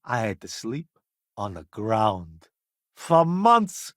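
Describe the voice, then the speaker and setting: An actor playing a wry, self-promoting food columnist turned space crewmate in a video game, breezy and full of sardonic wisecracks. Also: male voice, on the low side, very animated, slow, a bit bright, dry recording, clean studio sound, heavily acted. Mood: aggrieved.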